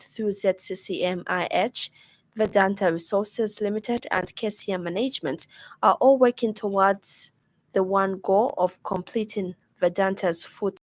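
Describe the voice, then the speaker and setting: Female radio news reader, Zambian accent, medium pitch, formal and measured scripted delivery. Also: no room sound. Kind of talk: scripted news bulletin reading.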